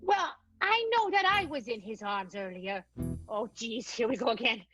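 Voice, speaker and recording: A woman's voice: a little high, animated, normal speed, lo-fi sound, lightly acted. A woman playing a brazen, flippant vaudeville suspect in an improvised radio play. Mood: flippant, defiant. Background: music.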